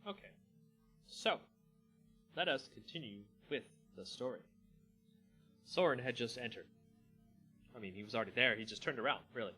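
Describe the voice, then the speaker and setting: Man, expressive storyteller, mid pitch, dramatic fantasy narration, casual host asides, background music. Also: normal speed, long pauses, husky. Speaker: channel host and story reader.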